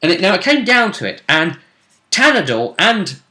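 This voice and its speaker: A man with a bright, forceful voice recaps a tabletop role-playing game as host. His pitch moves around a lot, giving an animated, conversational delivery. He talks casually and throws out questions as he explains. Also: moderate pace.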